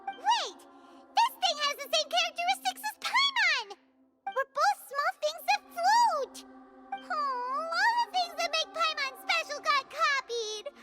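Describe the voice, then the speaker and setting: A woman voicing a tiny, excitable, childlike floating fairy companion in a video game, squeaky and exclamatory. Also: slow, exaggerated voice. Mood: dismayed. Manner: exclamatory, refers to self in third person. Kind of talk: video game cutscene voice acting. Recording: loud music behind. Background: game background music.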